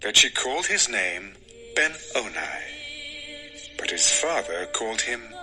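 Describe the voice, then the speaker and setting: A man narrating dramatized scripture as a measured, expressive storyteller. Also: fairly low pitch. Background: music.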